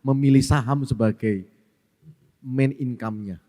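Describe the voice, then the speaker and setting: A man's voice: mid-range voice, unhurried, warm tone. A man interviewing a guest on stage, asking polite questions and addressing him as "Pak". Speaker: event interviewer. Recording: echoey event hall.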